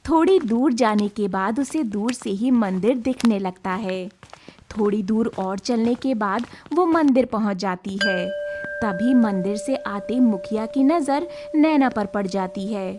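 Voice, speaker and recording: Woman reading a kids story narration, slow and scripted, bit of background noise. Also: medium pitch, expressive.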